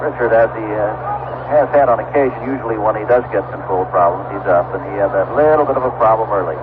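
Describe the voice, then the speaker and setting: A man's voice: fairly low pitch, fast talker. An American man calling baseball play-by-play live on a vintage radio broadcast. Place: outdoor ballpark broadcast. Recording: vintage radio broadcast, tinny narrow band, loud noise.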